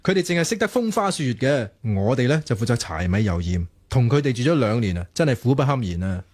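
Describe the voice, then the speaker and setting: An earnest young student programmer with a mid-pitched voice and a Hong Kong Cantonese accent. He is lovelorn and wryly self-mocking, with a sighing delivery suited to radio drama banter. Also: big pitch swings, fast, a little mellow. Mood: rueful.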